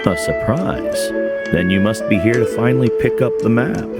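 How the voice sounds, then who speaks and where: A man acts out a middle-aged guide and mentor from a fantasy game. The voice is low and measured, solemn but gentle, with loud music behind it. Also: lightly acted. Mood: knowing, gentle. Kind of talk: game voice acting.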